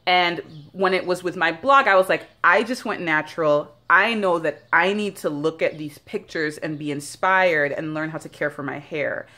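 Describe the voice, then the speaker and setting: Low-voiced chatty woman vlogger. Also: normal speed, few pauses, bright, forceful tone. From Jamaica. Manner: chatty and rambling, with many fillers. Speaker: founder of a beauty brand. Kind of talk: casual vlog talk. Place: bathroom by a bright window. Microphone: phone held at arm's length.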